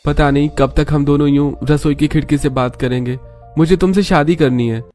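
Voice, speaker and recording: A man's voice: fairly high pitch, steady tone, moderate pace, darkish tone, faint music behind, close to an everyday voice. A man voicing a lovestruck young man in a cartoon. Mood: yearning, romantic. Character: lovestruck young man meeting his sweetheart secretly. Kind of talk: cartoon voice acting.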